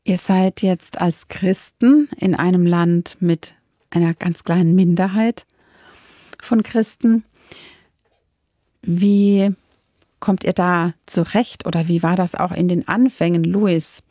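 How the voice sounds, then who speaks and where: A woman interviewing a guest, asking calm, clear questions. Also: fairly low pitch, takes it slowly, no room sound, studio quality.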